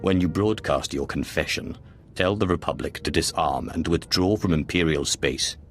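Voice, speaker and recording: An actor playing a composed dark lord in a video game, speaking with cool, measured, formal authority. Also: male voice, extremely low pitch. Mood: stern. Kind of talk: dramatic video game voice acting.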